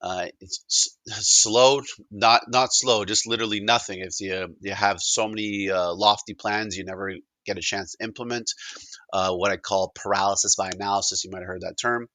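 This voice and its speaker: Bright-voiced, low-pitched Canadian man presenting. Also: fairly even intonation, moderate pace, no echo. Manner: conversational, plain-spoken, explanatory. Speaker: presenter, digital and AI consultant. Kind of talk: informal presentation.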